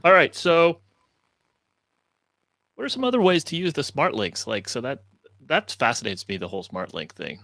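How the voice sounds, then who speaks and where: A man's voice: medium pitch, animated, normal speed, creaky, pauses often, bright, forceful tone, no room sound. A man hosting a casual conversation, chatting and putting questions to a guest. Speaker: host, moderator. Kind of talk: casual chat, interviewing.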